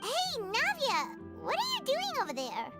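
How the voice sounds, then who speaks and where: A woman voicing a small, chirpy, easily spooked fairy companion in a video game, with a squeaky childlike voice and an exclamatory way of talking. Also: wildly swooping pitch, a little slow, heavily acted. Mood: cheerful. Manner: refers to self in third person, exclamatory. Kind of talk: animated video game voice acting. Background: music.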